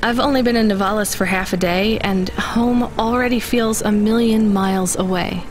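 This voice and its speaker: An actress voices a young woman working as a driver in a video game. She is plain-spoken and guarded, often questioning, in a mid-range voice. Music runs clearly underneath. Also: mid-range voice, fairly even intonation, moderate pace, close to an everyday voice. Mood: guarded, sympathetic. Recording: music behind the voice.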